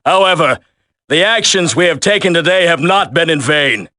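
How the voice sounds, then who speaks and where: Forceful, solemn villain colonel. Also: male voice, a little high, animated, moderate pace, dry recording, studio quality. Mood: solemn, resolute. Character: grim, principled male terrorist colonel, resolute and vengeful. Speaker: voice actor in a video game. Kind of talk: video game cutscene acting.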